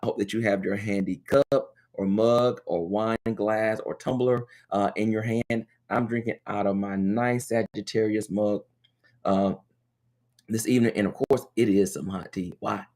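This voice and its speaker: A man with a raspy, sinus-hoarse voice hosts an education webcast, talking straight to viewers and stretching out key words for emphasis. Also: fairly low pitch, unhurried. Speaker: education webcast host and consultant. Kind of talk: casual webcast talk.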